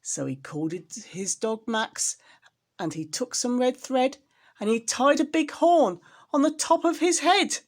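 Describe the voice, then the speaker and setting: A woman's voice: high voice, expressive, unhurried. A woman storyteller reading a children's storybook expressively, with dramatic emphasis on repeated words.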